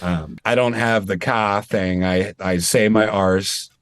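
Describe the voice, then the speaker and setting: A man's voice: on the low side, slow pace, no room sound, clean studio sound. A man from Maine, a tribute performer talking casually and animatedly as an interview guest over a video call. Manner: chatty, animated, self-deprecating.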